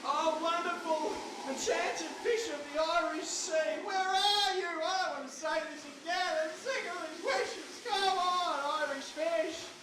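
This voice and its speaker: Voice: extremely high pitch, measured pace, few pauses. A performer playing a hapless henpecked fisherman in a live radio play, plaintive and hesitant. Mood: woeful, pleading. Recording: very echoey hall, distant, noisy.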